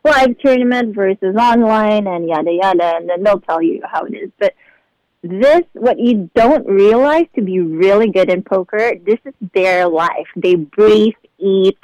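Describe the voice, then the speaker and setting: A woman's voice: medium pitch, unhurried, a little mellow, dry recording, poor audio. Bubbly, laughing woman from the Philippines. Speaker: show regular being interviewed. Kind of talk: casual interview chat.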